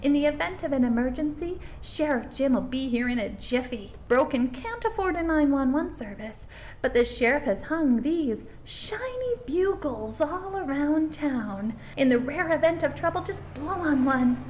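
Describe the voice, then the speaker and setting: A woman's voice: sits high, animated, moderate pace, darkish tone, slight background noise. A woman playing a chirpy, confiding small-town tour guide narrating a comic mockumentary. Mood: cheerful. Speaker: documentary presenter.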